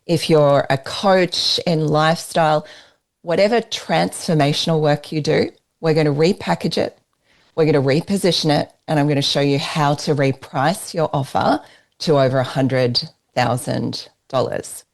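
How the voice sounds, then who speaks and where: An Australian woman leading a business workshop, giving an emphatic, persuasive pitch while presenting slides. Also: normal speed, vocal fry.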